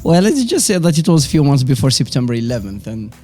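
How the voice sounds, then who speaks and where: A man's voice: mid-range voice, big pitch swings, fast, dark tone, faint music behind. A man in his forties with a Moroccan accent, a native Arabic and French speaker, casually telling personal stories as an interview guest. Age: 40s. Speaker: interview guest, business owner.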